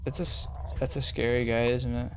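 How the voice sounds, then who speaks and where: A man's voice: medium pitch, little pitch movement, brisk, a little hiss or hum. A man giving casual gameplay commentary as a streamer, muttering to himself in slangy, sweary talk. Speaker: streamer playing a video game.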